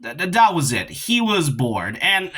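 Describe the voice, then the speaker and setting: A man's voice: on the high side, big pitch swings, a little slow, sharp tone, slightly rough. A man giving informal, opinionated video essay commentary on games, speaking emphatically and often stumbling over or repeating words. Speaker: video game commentary YouTuber.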